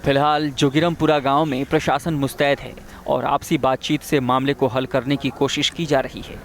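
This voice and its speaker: A man delivers a scripted television news voice-over. His voice sits at a medium pitch, and he narrates as a reporter presenting a packaged report. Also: level tone, normal speed, slight background noise.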